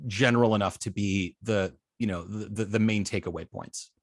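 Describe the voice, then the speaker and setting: A man teaching informally as an instructor, explaining in a halting, hesitant way with many filled pauses and false starts. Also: on the low side, no echo, clean studio sound. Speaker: web design instructor.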